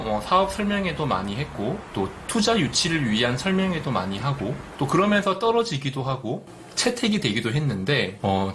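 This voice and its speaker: A man's voice: fairly high pitch, fairly bright tone, slight background noise. A middle-aged man from Busan with a light Busan accent, giving direct, earnest business advice in a vlog. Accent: light Busan dialect. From Busan, South Korea. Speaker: business YouTuber.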